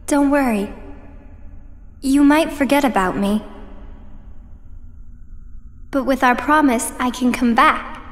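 An actress playing a gentle, wistful, reassuring teenage girl with a soft voice in a video game. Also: sits high, takes it slowly, long pauses. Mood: tender, reassuring. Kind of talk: video game cutscene acting.

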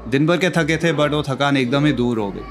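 A young man narrating a casual travel vlog while exploring hill viewpoints and restaurants, relaxed and chatty, with many fillers and English phrases mixed in. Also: on the high side, normal speed, over music. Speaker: travel vlogger.

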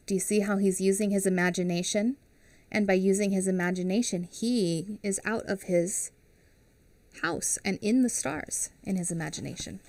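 A woman in her thirties reading a picture book aloud to children on a headset mic, pausing to explain and ask questions. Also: mid-range voice, no echo. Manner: expressive, asks children questions. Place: small home studio with classroom backdrop.

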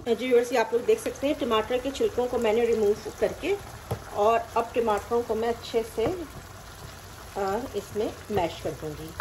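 Woman, cooking host, friendly step-by-step voice-over, fairly high voice, kitchen noise and sizzling underneath. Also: expressive, a little slow. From Pakistan. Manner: friendly, explains each step plainly. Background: frying and sizzling.

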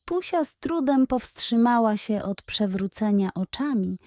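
A woman's voice: on the high side, lively intonation, measured pace, darkish tone. A woman storyteller reading a scripted bedtime story for children.

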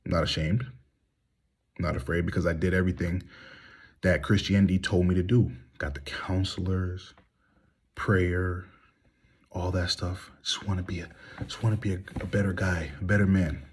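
Deep husky man venting to phone. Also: level tone, moderate pace, long pauses. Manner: heated, blunt, rhetorical questions. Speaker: vlogger. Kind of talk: candid monologue to phone camera. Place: small room indoors, reclining. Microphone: phone at arm's length.

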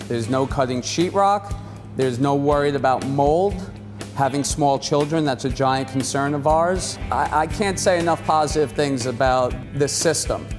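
A man in his forties giving an earnest customer testimonial in a living room. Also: mid-range voice. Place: living room with wooden floor. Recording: reverberant room with music behind. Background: plucked guitar music.

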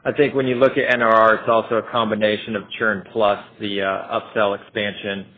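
A man speaking as a company finance executive on a conference call, explaining in a halting way. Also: fairly low pitch, level tone. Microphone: telephone conference call. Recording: narrowband phone-like conference line.

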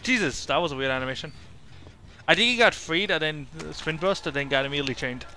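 A man's voice: a little high, fast talker, sharp tone, a little hiss or hum. A young man giving live gameplay commentary as a streamer, muttering to himself in short fragments. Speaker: game streamer. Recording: game music and sounds behind. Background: horror game music and effects.

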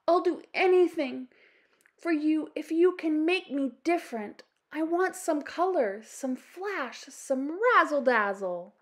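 Vain, high-voiced storybook raven, acted by a woman. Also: lively intonation, slow. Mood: desperate, eager. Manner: boastful, exclamatory. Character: vain, eager young male raven craving dazzle. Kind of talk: children's storybook read-aloud.

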